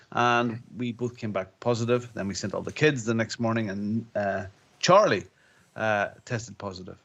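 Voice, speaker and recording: A man co-hosting a casual podcast, chesty with a lingering cough, rambling with halting restarts. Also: fairly low pitch, highs cut off. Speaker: podcast co-host. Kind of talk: casual chat.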